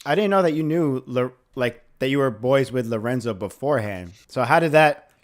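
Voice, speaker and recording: A man's voice: mid-range voice, lively intonation, moderate pace. A man with a middle-range voice co-hosting a casual podcast. He jumps in with short reactions and follow-up questions. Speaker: podcast co-host. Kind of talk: casual conversation.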